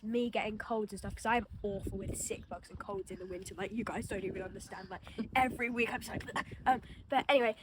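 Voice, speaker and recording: Chatty young woman with a cold. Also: on the high side. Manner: chatty, rambling with many fillers. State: has a cold, runny nose. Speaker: horse riding vlogger. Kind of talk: casual vlog chat. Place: outdoor riding arena, windy. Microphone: camera mic, no wind cancelling. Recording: wind and outdoor noise.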